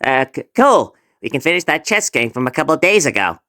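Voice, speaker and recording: A man's voice: on the high side, big pitch swings, a little fast, pushed and bright, no room sound, clean studio sound. A man voicing an insolent, flippant schoolboy troublemaker in a comic dub. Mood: cheeky. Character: cheeky, wisecracking schoolboy troublemaker. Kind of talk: comic fandub acting.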